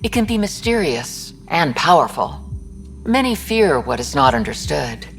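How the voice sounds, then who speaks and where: Low, expressive formal alien scientist. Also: female voice, measured pace, vocal fry, a little hiss or hum, exaggerated voice. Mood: thoughtful. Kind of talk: video game dialogue acting.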